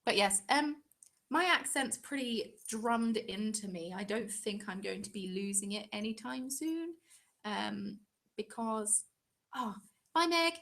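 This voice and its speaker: A woman's voice: medium pitch, takes it slowly, creaky, fairly bright tone. A young English woman hosting a craft channel's live stream, chatting casually and rambling with frequent ums.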